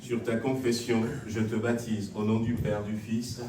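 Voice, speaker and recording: A male pastor praying over and baptizing a believer in a church, measured with pauses. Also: fairly low pitch, heavy background noise. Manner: flat, measured, pausing with fillers. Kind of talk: prayer and baptism rite. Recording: echoey church hall from far off, congregation around.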